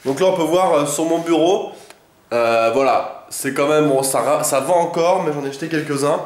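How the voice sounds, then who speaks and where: A young man talking casually to his viewers in a somewhat high voice, his nose stuffed up from a cold. He rambles on with plenty of pauses and slangy asides. The sound bounces around an echoey room. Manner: rambling and chatty, lots of hesitations and slang. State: congested with a head cold. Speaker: vlogger. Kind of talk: casual daily vlog chat.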